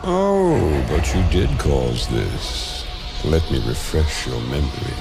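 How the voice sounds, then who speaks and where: An actor playing a sinister, sly devil who taunts cruelly in a gravelly, gloating voice. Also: male voice, very deep voice, huge pitch swings, full character voice. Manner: slow, gloating. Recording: loud music over the voice.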